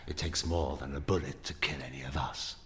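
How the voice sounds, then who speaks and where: A man playing an urbane, sinister mad doctor with courteous, measured menace in a live horror radio play. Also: extremely low pitch, fairly even intonation, a bit bright. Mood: cold menace. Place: live in an echoing anatomy theatre. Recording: echoing hall with background noise.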